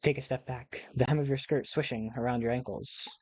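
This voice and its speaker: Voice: medium pitch, fairly even intonation, fairly quick, a little mellow, no room sound. An amateur gamer reading a visual novel aloud in a theatrical storybook manner, with casual asides. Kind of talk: expressive scripted narration with casual asides.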